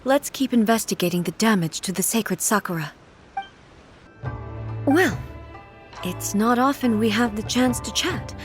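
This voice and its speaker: An actress playing a stately female god-ruler who speaks in a formal, measured, contemplative way. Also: fairly high pitch, faint music behind, natural voice. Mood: calm explaining. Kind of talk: video game voice acting.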